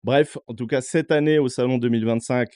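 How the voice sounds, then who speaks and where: Relaxed podcast host from northern France. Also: male voice, medium pitch, a little fast, clean tone, no echo, clean studio sound. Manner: relaxed, filler-heavy interviewer. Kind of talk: casual interview.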